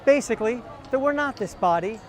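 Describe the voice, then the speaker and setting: High-voiced man narrating a documentary. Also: lively intonation, fairly quick, some chatter behind. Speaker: documentary presenter. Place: outdoor city street festival. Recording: music in the background.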